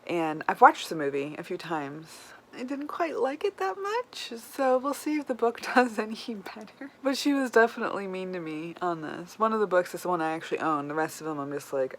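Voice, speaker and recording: A woman's voice: fairly low pitch, low-quality recording. Casual woman vlogger over background noise. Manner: informal, wryly self-deprecating. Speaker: book vlogger. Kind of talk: casual chat to camera.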